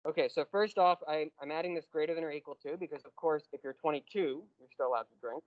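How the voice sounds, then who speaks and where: A man teaching a university math class, explaining step by step and slowing down on key terms. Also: fairly high pitch, no echo, narrow, tinny audio. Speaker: math lecturer. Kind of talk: classroom lecture.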